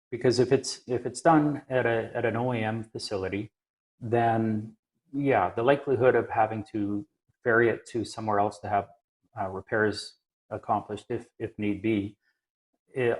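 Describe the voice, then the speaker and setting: Low-voiced man explaining in conversation. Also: poor audio. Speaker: aviation maintenance engineer and inspector, podcast regular.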